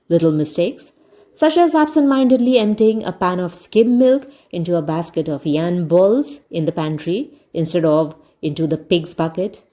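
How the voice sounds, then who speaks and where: Mellow woman narrating a storybook. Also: lowish voice. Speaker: audiobook narrator.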